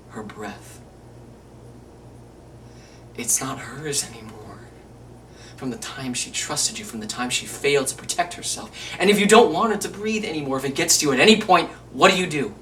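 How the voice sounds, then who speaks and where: A man playing a menacing, controlling killer in a theatrical monologue, cold and volatile, with a deliberate, intimate, chilling delivery. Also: medium pitch, lively intonation, close to an everyday voice. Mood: cold menace. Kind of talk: theatrical monologue, self-taped audition. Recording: distant mic, faint room noise.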